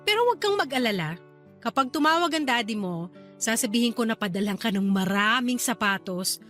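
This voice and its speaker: A devoted young aunt in a radio drama. Her voice is high, affectionate and expressive, with a playful teasing streak. Soft music sits faintly underneath. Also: brisk. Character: caring, playful young aunt raising her small niece. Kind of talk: Tagalog radio drama acting.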